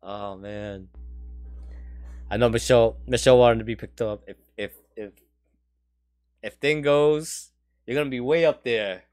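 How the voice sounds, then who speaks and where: A man's voice: medium pitch, a little slow, long pauses, darkish tone, no room sound, studio quality. A man co-hosting a casual podcast, chatting in a jokey way and reading out comments. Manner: jokey, laughs often. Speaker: podcast co-host.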